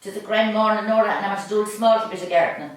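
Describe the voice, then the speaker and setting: A woman's voice: mid-range voice, racing, very echoey, far from the mic, lightly acted. A woman playing a chirpy, bustling village woman in a radio drama, with a County Cork accent. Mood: bright, bustling. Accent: Irish, County Cork.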